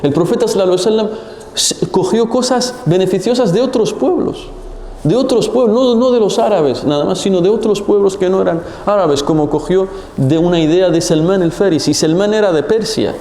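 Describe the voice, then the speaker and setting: A man's voice: high-pitched, brisk. Man teaching in an echoey hall. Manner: animated, rhetorical questions, repeats phrases. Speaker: religious teacher. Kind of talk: Islamic religious lesson. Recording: echoey hall with long reverb.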